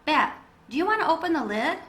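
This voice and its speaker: A woman voicing a gentle, patient mother in a storybook, explaining in a reassuring manner. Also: sits high, big pitch swings, a little fast, voice pushed up. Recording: roomy echo with background noise.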